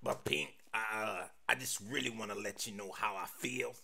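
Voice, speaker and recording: A man voicing a bossy, easily flustered killer in an animated game parody, with slangy, blustering talk. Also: fairly high pitch, normal speed, vocal fry, slight background noise, exaggerated voice. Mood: nervous, hesitant. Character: swaggering, nervous red impostor killer, smitten and bossy.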